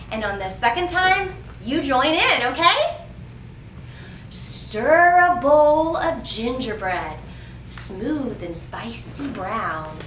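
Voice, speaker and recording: A woman's voice: high-pitched, a bit bright. A woman hosting a library storytime, addressing young children with questions and prompts as she reads and shares rhymes. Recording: roomy echo, distant mic, faint noise.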